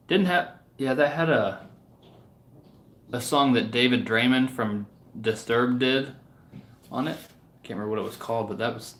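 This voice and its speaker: A man chatting casually to viewers as a livestream host, in a hesitant, rambling way that often trails off. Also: medium pitch. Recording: roomy, echoing room sound.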